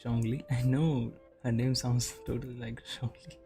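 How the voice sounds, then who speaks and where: A man's voice: mid-range voice, fairly even intonation, normal speed. A man giving casual, offhand reaction commentary as he watches a game story, in short interjections. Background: game music and dialogue.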